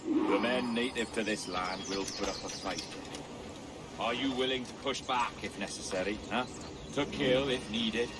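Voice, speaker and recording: A man playing a deep, cunning pirate. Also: unhurried, natural voice. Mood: probing. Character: grandiose, cunning, menacing male pirate. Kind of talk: video game cutscene acting.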